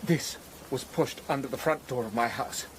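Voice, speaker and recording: An actor playing a pompous, exasperated Tudor dean in a radio drama. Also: male voice, mid-range voice, normal speed, a little hiss or hum, close to an everyday voice. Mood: indignant, flustered. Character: flustered, indignant, pompous Tudor churchman.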